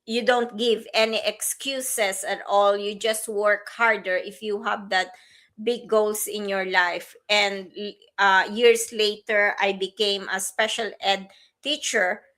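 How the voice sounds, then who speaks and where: A woman from the Philippines co-hosting a live stream, chatting slowly with many fillers and restarts. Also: mid-range voice, flat delivery. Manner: slow, warm-hearted, frequent fillers and restarts. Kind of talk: casual livestream chat. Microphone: livestream setup.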